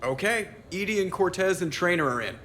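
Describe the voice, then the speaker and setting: A man's voice: high voice, expressive, fairly quick, fairly bright tone, a little hiss or hum. A man playing an irreverent, wisecracking young starship pilot in a video game, casual and sarcastic. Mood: flippant.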